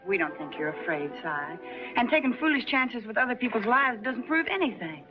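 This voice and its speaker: An actress playing a brisk, firm young Western sharpshooter with an American accent. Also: female voice, on the high side, very animated. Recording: dull old TV soundtrack, music behind.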